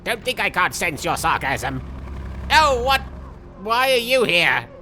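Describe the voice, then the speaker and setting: Very bright, vain cartoon villain. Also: male voice, high voice, sing-song, measured pace, a little hiss or hum, full character voice. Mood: indignant, excited. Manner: theatrical, petulant, jokey. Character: excitable, vain male cartoon villain playing a video game. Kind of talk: in-character let's play commentary.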